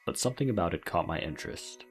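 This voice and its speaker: A man's voice: low-pitched, animated, quick pace. A man reading scripted narration as the host of a video essay. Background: music.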